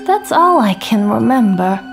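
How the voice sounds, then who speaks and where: Casting: weary housewife, 1950s radio drama, high voice, unhurried, coolly polite. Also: female voice, very animated, vocal fry, over music, heavily acted.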